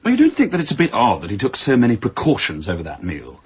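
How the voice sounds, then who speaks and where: An actor playing a keen, suspicious gentleman in a radio drama. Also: mid-range voice, wildly swooping pitch, quick pace, husky, full character voice. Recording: dull, muffled radio recording.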